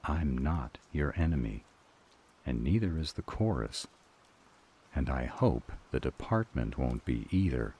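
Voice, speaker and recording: A man playing a formal, measured supernatural counsel, cautious and grave, in an audio roleplay drama. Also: rumbling low, mellow, a little hiss or hum, heavily acted. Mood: earnest caution.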